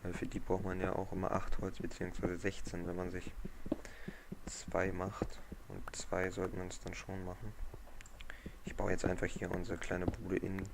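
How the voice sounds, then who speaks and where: A man with a cold, hoarse, giving relaxed, rambling commentary while he plays a game. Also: low voice, normal speed. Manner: relaxed, rambling, thinks aloud. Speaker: gaming channel host. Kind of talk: casual let's-play commentary. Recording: noisy home mic recording.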